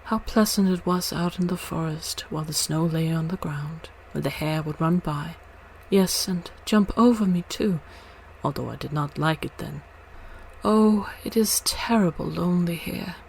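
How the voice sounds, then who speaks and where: A woman's voice: lowish voice, normal speed, creaky, a little mellow, a little hiss or hum, rough recording. Woman voicing a naive, wistful young fir tree. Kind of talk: storybook character voice.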